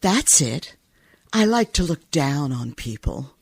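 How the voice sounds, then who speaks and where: Husky, slow, sultry radio femme fatale played by a woman. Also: sits low, very animated, a little mellow, no room sound, exaggerated voice. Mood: sultry, teasing. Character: sultry, haughty nightclub singer femme fatale, adult woman. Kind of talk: old-time noir radio acting.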